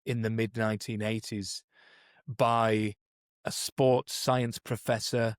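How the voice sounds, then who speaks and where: A man's voice: on the low side, flat delivery, takes it slowly, no echo, clean studio sound. A man co-hosting a podcast, explaining coaching methods in a thoughtful, conversational way, often restarting his sentences. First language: English. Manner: thoughtful, many restarts and "you know"s. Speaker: podcast co-host, performance coach.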